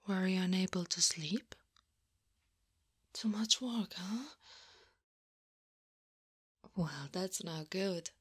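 Woman as a slow, breathy, caring older centaur lady. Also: mid-range voice, no room sound, clean studio sound. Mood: caring, insistent. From Italy. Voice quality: breathy, often whispering. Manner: slow, soothing, softly teasing. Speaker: ASMR roleplay performer. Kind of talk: ASMR roleplay.

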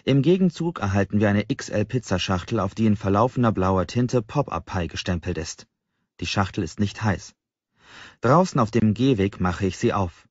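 A man's voice: fairly low pitch, no room sound, lo-fi sound. A man narrating a first-person novel as an audiobook in a scripted literary reading style.

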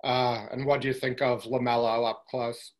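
A man, a reporter, asking a coach measured, detailed questions at a press conference. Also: medium pitch, little pitch movement.

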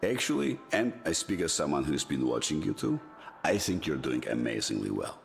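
A stern, proud military commander from a video game, confiding and authoritative. His very low voice swings expressively through its range while music plays softly behind him. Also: big pitch swings, creaky, exaggerated voice. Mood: warm, proud. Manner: measured, authoritative. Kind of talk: video game dramatic acting.

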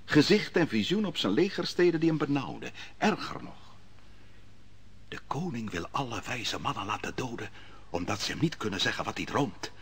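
An actor playing a young prophet in an old radio play, speaking in a husky, solemn, declaiming manner. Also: male voice, medium pitch, expressive, moderate pace. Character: young prophet recounting a king's dream, solemn. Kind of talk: 1950s radio drama acting.

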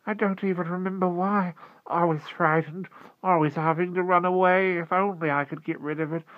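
A man voicing a bitter, frightened old tramp in a storybook, with a hoarse, broken voice and an urgent, plaintive delivery. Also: mid-range voice, animated, moderate pace, dry recording, highs cut off, pitched above the performer's own voice. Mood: plaintive, anguished.

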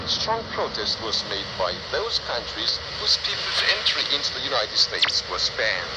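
A man reading scripted news in a formal, stern, declamatory manner, heard over shortwave radio from a handheld receiver's speaker. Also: mid-range voice. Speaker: radio newsreader. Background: heavy radio static and hiss.

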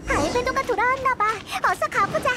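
Very high, childlike little fairy girl. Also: fairly quick. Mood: eager. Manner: cute, childlike, expressive. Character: small floating fairy girl companion, childlike and blunt. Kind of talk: anime game voice acting.

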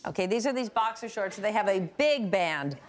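A woman's voice: mid-range voice, expressive, normal speed, noisy background. A woman in her sixties hosting a daytime talk show panel in a TV studio, leading the chat and reading news items aloud. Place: TV studio with live audience. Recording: studio audience heard.

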